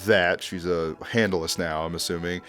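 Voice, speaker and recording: A man's voice: deep voice, steady tone, moderate pace, background noise. A man hosting a commentary video, casually recapping horror in a conversational, joking way.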